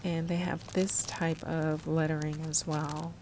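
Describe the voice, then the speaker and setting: Female shopping vlogger, low register, casual descriptive delivery, faint background noise of a store. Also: flat delivery, unhurried, a little mellow, low-quality recording. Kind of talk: casual shop-with-me narration.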